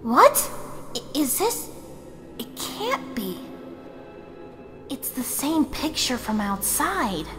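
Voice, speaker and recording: A woman's voice: sits high, very animated, slow pace, long pauses, big-room sound, music in the background, full character voice. A woman voicing a stern, curious and bossy fox researcher in a scripted comic dub. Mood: startled curiosity. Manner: firm, bossy.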